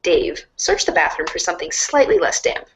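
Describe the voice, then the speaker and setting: A woman reading narration aloud in an animated storybook style for a reader's theater. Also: fairly low pitch, normal speed, a little hiss or hum.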